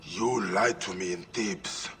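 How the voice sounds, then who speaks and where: A man's voice: low voice, slow, over music. An actor playing a stern, dry avenger in a video game, terse and pointed in his questioning. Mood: stern, probing. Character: stern, dry, probing ancient Egyptian avenger.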